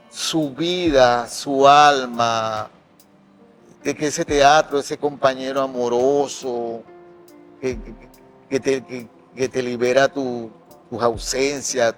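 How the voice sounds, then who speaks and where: Impassioned Venezuelan man in his sixties. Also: mid-range voice. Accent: Venezuelan, from Maracaibo. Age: 60s. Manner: impassioned, emphatic, with repetitions for effect. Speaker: actor and theatre director, interview guest. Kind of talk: personal interview testimony.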